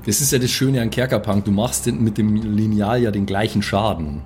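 A man's voice: fairly low pitch, a little fast, a little mellow, faint music behind. A man chatting casually as a player in a tabletop role-playing game, talking through moves and rules. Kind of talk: casual improvised game chat, rules talk.

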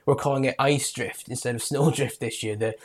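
A young Scottish man speaks in a medium-pitched voice with only a light accent. He gives casual, conversational commentary as a podcast guest. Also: steady tone, brisk, husky. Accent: mild Scottish. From Scotland. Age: young adult. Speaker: motorsport writer, podcast guest.

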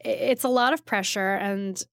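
Female, mid-pitched, conversational interviewee; halting, self-interrupting delivery full of "like"; very little room sound. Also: vocal fry, a bit bright, dry recording, studio quality. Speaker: restaurant critic, interview guest.

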